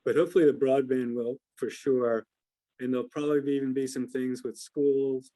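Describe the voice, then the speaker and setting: A man's voice: medium pitch, fairly even intonation, fairly quick, warm tone, no echo, rough recording. An American man giving informal analysis as an investment adviser answering questions on a call, hesitant and hedging as he thinks aloud.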